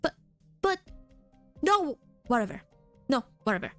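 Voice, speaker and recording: A woman's voice: high voice, big pitch swings, a little slow, fairly bright tone, heavily acted. A woman voicing a shy, kind young mercenary girl who hesitates and stammers, with faint music behind. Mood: timid.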